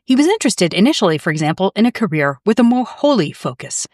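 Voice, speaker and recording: Lively woman narrating a podcast. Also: medium pitch, fast talker, no echo, clean studio sound. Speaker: podcast host. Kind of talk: scripted narration.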